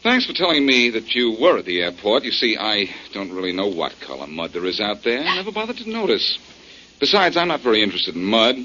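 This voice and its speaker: Wry, low-voiced radio detective. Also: male voice, big pitch swings, fairly quick. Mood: sly, probing. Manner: sardonic, teasing, probing. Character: wry, needling, confident male amateur detective. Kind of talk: 1940s radio detective drama acting. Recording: dull 1940s radio recording with hiss.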